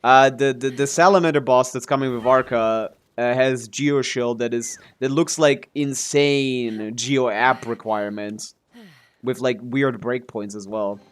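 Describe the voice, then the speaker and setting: A man's voice: medium pitch, fairly even intonation, measured pace, smooth. Casual male game streamer thinking aloud. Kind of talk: casual livestream gaming commentary and chat.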